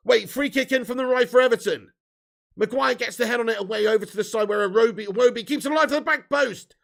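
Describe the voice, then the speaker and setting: A man with a hoarse, fading voice, giving sweary, excitable live fan commentary while reading chat. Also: extremely high pitch, quick pace. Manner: swearing, excitable, shouts at chances. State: losing his voice. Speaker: fan watch-along streamer.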